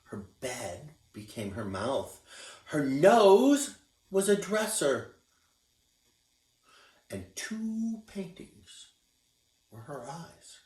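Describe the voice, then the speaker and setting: A man reading a picture book aloud to children as a teacher, expressive and encouraging, pointing out the pictures. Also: a little high, very slow, pauses often, far from the mic. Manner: expressive, encouraging storyteller who shows pictures. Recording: echoey room.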